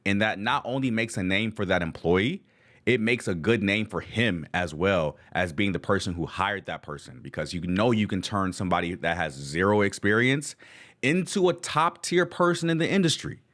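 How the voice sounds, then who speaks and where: A man's voice: fairly low pitch, normal speed, a bit bright. A man hosting a live reaction stream, talking casually with enthusiastic slang. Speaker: channel host reacting to video.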